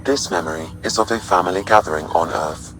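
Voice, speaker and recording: A deep, measured male ship AI weighs every word with calm curiosity. Its tone stays even and analytical. Faint music runs underneath. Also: rough recording. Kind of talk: video game voice acting.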